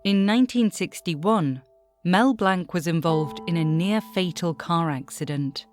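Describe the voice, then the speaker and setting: A woman with a slightly low voice narrates a scripted history documentary. Her delivery is measured and explanatory, and faint music plays behind her.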